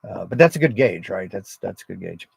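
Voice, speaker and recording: A man with a low American voice and a creaky, gravelly edge. He talks casually and rambles a little, like a radio hobbyist hosting his own relaxed livestream. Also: fairly quick, no room sound. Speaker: amateur radio operator and livestream host. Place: outdoors at a state forest park.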